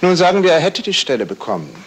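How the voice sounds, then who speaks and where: An actor playing a composed, probing male detective in a 1970s radio crime play, asking formal, measured, pointed questions in a gravelly voice. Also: fairly high pitch, very animated, poor audio. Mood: matter-of-fact, pointed. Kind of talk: 1970s German radio crime drama acting.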